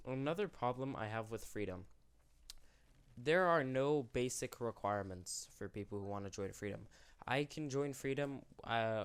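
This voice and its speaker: A man's voice: medium pitch, clean tone. A young man hosting an unscripted commentary, rambling and correcting himself, sick and losing his voice. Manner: rambling, self-correcting, candid. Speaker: YouTube channel host.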